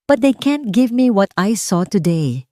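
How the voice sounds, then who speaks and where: A woman's voice: medium pitch, very animated, a little slow, no room sound, studio quality, voice pushed up. A woman voicing a cold, commanding billionaire father in an audiobook, speaking curtly and directly. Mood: firm, direct. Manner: direct, quietly threatening, no shouting.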